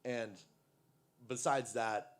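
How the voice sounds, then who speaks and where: A man's voice: mid-range voice, fairly even intonation, extremely slow. An American man who grew up in Texas and East Africa, performing as an amateur poet with casual introductions at an open mic. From United States (Texas), later East Africa. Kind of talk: poetry recital with casual introductions. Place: live open mic event at a coffee venue.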